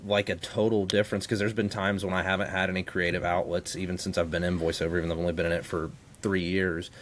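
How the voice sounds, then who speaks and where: A man's voice: sits low, flat delivery, fast, fairly bright tone. A man co-hosting in casual podcast chat, with an earnest, reflective way of talking. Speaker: guest co-host, voice actor. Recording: faint background noise.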